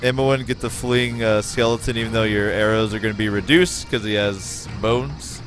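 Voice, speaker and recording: An American man streaming a game, giving excitable, profane play-by-play and chatting with viewers. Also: fairly low pitch, moderate pace, over music. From United States. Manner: excitable, profane, talks to chat. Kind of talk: casual gameplay commentary.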